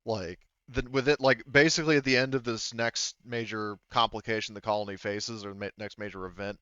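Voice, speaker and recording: A man's voice: on the low side, steady tone, fairly quick, a bit bright, smooth, dry recording, lo-fi sound. A man casually explaining things as game master in a tabletop roleplaying game.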